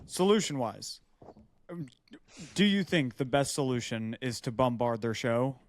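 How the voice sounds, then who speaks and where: A man's voice: medium pitch, measured pace, a little hiss or hum. A man on a podcast panel giving frank advice in casual talk, swearing now and then.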